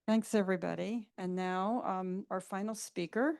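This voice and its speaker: A woman's voice: mid-range voice, fairly even intonation, normal speed, creaky, darkish tone. A woman moderator introducing the next speaker at a seminar, hesitant, with frequent ums. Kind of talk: event introduction.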